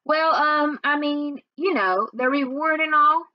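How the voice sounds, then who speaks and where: A woman's voice: high-pitched, unhurried, dry recording, pitched above the performer's own voice. A young girl in a children's storybook, acted by a woman reading aloud. She is guilty and hesitant, plain in her words, with a raised voice. The recording is dry and slightly muffled. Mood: hesitant, awkward.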